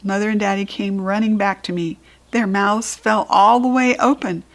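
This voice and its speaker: A woman's voice: mid-range voice, steady tone, moderate pace. American woman reading a children's story expressively. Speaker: storybook reader.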